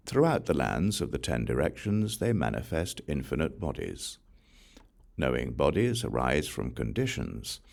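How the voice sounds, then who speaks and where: A man with an extremely low voice reads sacred text for an audiobook. His delivery is solemn and slow, set out in deliberate phrases, with lively rises and falls in pitch. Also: creaky. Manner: measured, deliberate phrasing with pauses. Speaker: audiobook reader. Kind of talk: solemn scripted reading of scripture.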